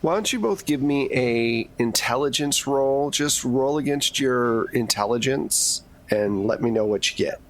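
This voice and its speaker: A man runs a tabletop roleplaying game. He lays out scenes at a slow, measured pace in a medium-pitched voice, conversational and informal as game master. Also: slight background noise. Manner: casual, conversational scene-setting.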